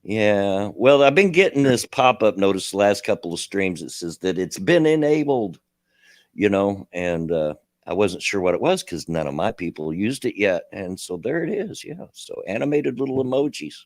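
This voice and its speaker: A man's voice: fairly low pitch, lively intonation, normal speed, darkish tone, no echo, studio quality. A middle-aged man with a Kentucky and Tennessee redneck accent, hosting a casual livestream and rambling with a self-deprecating laugh. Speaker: livestream host.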